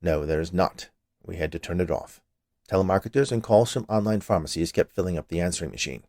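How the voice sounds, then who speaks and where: A man's voice: deep voice, quick pace, a little mellow, no echo, studio quality, pitched below the performer's own voice. A man reading a story, voicing an officious, stubborn male health inspector with a curt, pedantic manner.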